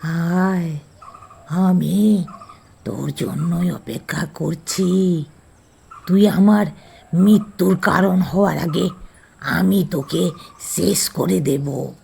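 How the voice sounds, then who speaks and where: A vengeful tantric sorcerer for a horror audio drama, pitched high and thick with gravelly creak. The words crawl out very slowly, dragged and threatening, as if every order is a menace. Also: male voice, a little mellow, slight background noise, heavily acted. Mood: gloating menace. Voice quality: raspy, croaking. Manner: slow, drawn-out, threatening delivery. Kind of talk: audio horror story acting.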